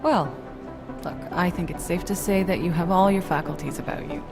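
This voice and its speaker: A woman playing a calm probing doctor. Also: fairly low pitch, normal speed, lightly acted. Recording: game cutscene with loud music.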